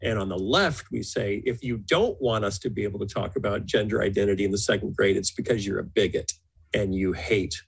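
A man's voice: fairly low pitch, a little fast, vocal fry, slightly rough. A man on a podcast panel, summarizing and explaining in a conversational way. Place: indoor room, remote podcast call.

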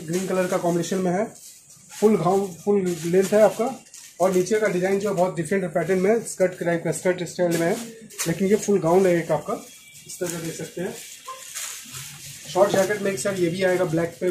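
A man with a notably high voice shows off wholesale garments. He keeps up a salesman's patter sprinkled with English words, recorded in a shop with clear background noise and clicks. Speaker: garment wholesaler presenting stock. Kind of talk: sales showcase on camera. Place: small indoor clothing shop.

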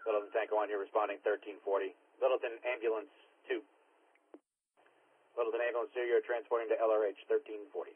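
A man's voice: on the low side, level tone, fast talker, a little hiss or hum. A man working as an emergency dispatcher, giving clipped, procedural calls over a two-way radio, repeating units and times. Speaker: fire and ambulance dispatcher. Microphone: dispatch radio microphone. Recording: narrow, tinny scanner radio audio.

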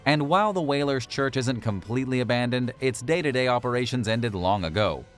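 A man's voice: mid-range voice, lively intonation, brisk, faint music behind. A man reading scripted documentary-style narration for a list video.

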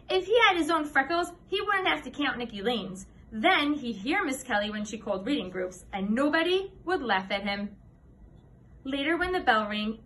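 A woman in her thirties reads a children's storybook aloud in an expressive, clearly phrased storytelling manner, like a teacher. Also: a little high. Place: indoor classroom. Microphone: camera or phone a few steps away.

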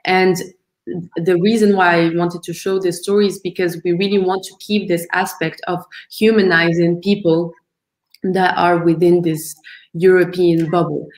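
Woman, earnest presenter, slightly low pitch, halting restarts, roomy webcam sound. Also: a little mellow. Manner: earnest, thoughtful, with frequent ums and restarts. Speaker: project founder presenting. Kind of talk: online presentation over video call. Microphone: video call webcam.

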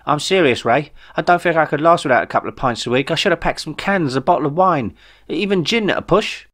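A man voicing an excitable, grumpy young man with whiny, slangy speech in a comic sci-fi audiobook. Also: fairly high pitch, fast talker, voice pushed up. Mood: earnest, alarmed. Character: excitable, grumpy young human man who loves beer.

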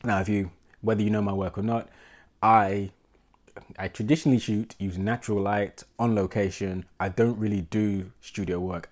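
A man in his thirties with a London accent, a portrait photographer vlogging to camera in a small home room, congested with a cold, talking in a relaxed, rambling, self-deprecating way. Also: sits low. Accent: London English. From England. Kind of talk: casual talking-to-camera vlog.

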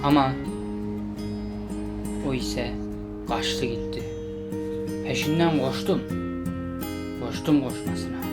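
A man's voice: medium pitch, takes it slowly, long pauses. A man hosting a gaming video, giving casual, slangy commentary with playful exclamations and improvised role-play. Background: loud plucked-string game music.

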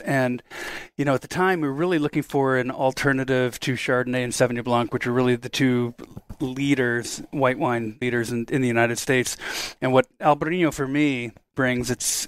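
A man who makes wine, talking at length in a casual roundtable, joking and relaxed, with frequent restarts. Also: mid-range voice, no room sound. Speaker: winemaker guest.

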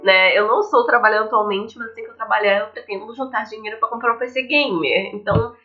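A woman's voice: fairly high pitch, fairly even intonation, quick pace. A young woman with a Brazilian accent, chatting informally and reading aloud as she plays a visual novel. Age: young adult. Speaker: gaming video host. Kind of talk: visual novel let's play, casual commentary and reading aloud. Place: indoors at home, facing a webcam. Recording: muffled lo-fi mic, game music behind.

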